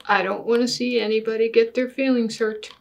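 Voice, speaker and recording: An older woman reading a picture book aloud to children in a deliberate manner, putting questions to her listeners. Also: on the high side, steady tone, creaky. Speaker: story time reader. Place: small indoor room with books and wall quilt.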